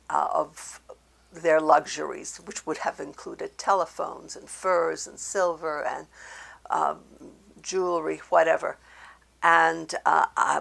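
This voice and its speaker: Woman in her eighties from Slovakia, German mother tongue, very gravelly, husky voice, giving measured oral history testimony with many pauses. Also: sits low, fairly bright tone. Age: 80s. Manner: halting, deliberate, measured. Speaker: Holocaust survivor giving testimony.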